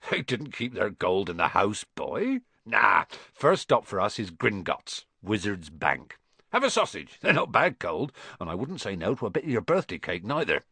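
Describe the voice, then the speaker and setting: Male narrator as gruff giant, storybook character, folksy clipped talk, loyal, lively swooping pitch, dry close sound. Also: normal speed, no room sound, heavily acted. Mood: reassuring, proud. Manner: folksy, clipped casual speech, grunting and sleepy at first. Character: gruff, good-natured giant man, proud and loyal.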